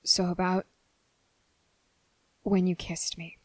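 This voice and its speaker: ASMR roleplay lead: earnest, nervous young man played by a woman, very even pitch, close dry sound, soft and halting. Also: no room sound, rough recording. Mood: tender, careful. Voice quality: soft, breathy, slipping into whisper. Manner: halting, hesitant, often pausing mid-sentence. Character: nervous, earnest, gentle young male trainee confessing his feelings. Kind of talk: ASMR roleplay addressed to the listener.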